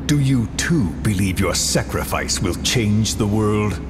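Deep, slow, menacing game villain. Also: male voice, animated, music in the background. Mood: coldly musing. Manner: slow, deliberate, menacing interrogation. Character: cold, philosophical male villain interrogating a captive. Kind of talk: dramatic video game villain monologue.

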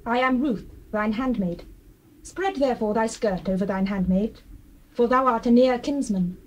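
An actress playing a humble, devoted young widow, gentle and earnest, in dramatized scripture. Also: female voice, a little high, normal speed, natural voice, low-quality recording. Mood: meek, earnest. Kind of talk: dramatized scripture, classical stage acting.

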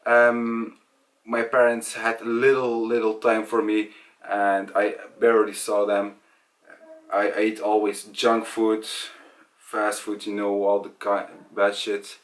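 A young man talking casually to camera about himself, in a hesitant, halting way. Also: lowish voice, monotone. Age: young adult. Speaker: vlogger. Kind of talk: casual personal storytelling to camera. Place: small indoor room at home. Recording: voice distant from the mic.

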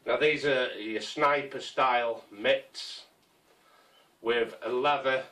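A man in his fifties, casually showing his camping gear in a small conservatory, rambling and unhurried with many ums and restarts. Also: mid-range voice, steady tone, sharp tone, distant mic. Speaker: survival gear vlogger. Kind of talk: casual show-and-tell. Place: small conservatory full of kit. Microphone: camera mic from a few feet away.

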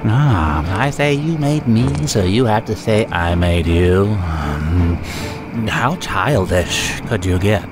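A man's voice: deep voice, lively intonation, takes it slowly, background noise. An actor playing a theatrical, sly madman villain in an audio drama, drawling and mocking.

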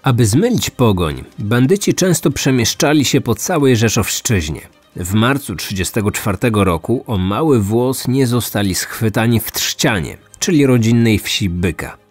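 A man's voice: medium pitch, lively intonation, moderate pace, slightly rough, no echo. A man hosting a true-crime podcast, reading scripted storytelling narration. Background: music.

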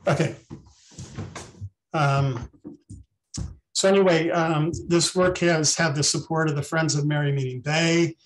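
An older man, a retired biology professor, giving an online talk over a video call, halting with many ums and restarts. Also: on the high side, fairly even intonation, low-quality recording.

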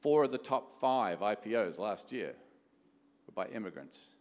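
A middle-aged man speaks in a fairly low voice from a conference stage, his words trailing long reverb around a big hall. He presents conversationally, like a keynote speaker walking listeners through material and checking in with questions. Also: big pitch swings, normal speed, darkish tone. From Australia. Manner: conversational, frequent rhetorical questions. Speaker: venture capital executive, keynote speaker.

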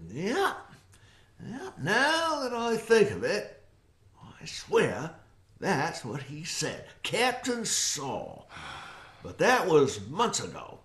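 Slow, folksy, rambling backwoods man. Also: fairly high pitch, wildly swooping pitch, a bit bright, full character voice. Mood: musing. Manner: hesitant, rambling, plainspoken. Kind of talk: audio drama acting.

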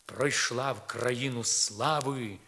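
A man reading poetry aloud in a solemn, expressive, dramatic recitation with measured pauses, from a vinyl record. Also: mid-range voice, creaky. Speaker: poetry reader.

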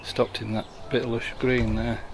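Gravelly man commentating outdoors. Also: mid-range voice, little pitch movement, moderate pace, background noise. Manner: chatty, explaining the play as it happens. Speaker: commentator filming the match. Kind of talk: casual amateur sports commentary. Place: outdoors at a bowling green.

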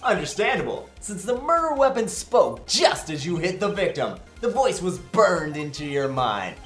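A man's voice: high-pitched, sing-song, moderate pace, a bit bright, roomy sound, faint music behind. A man voicing a cocky, wisecracking young male defense attorney with theatrical swagger in a fan-dubbed video game. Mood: showboating.

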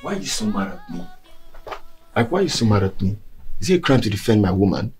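An actor playing a hurt, defensive young boyfriend who pleads and argues. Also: male voice, quick pace, faint music behind. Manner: pleading, exasperated, lively rises in pitch.

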